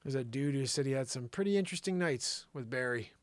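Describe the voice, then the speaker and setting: A male show host with a mid-range voice, recorded dry. He rambles casually and comically to his viewers, animated and slangy, often thinking out loud. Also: mid-range voice, fast, no echo. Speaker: live variety show host.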